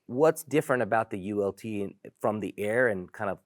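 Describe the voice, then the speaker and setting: A man's voice: lowish voice, brisk, no room sound, studio quality. A man hosting a product discussion, leading it with enthusiasm. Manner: enthusiastic, leads the conversation. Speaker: host.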